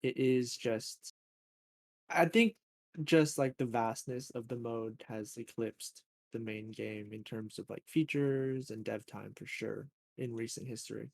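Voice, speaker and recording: Male podcast host, mid pitch, dry close mic, easygoing chatty gamer delivery. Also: measured pace, vocal fry, dry recording. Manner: relaxed, filler-heavy, laid-back gamer talk. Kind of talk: casual chat.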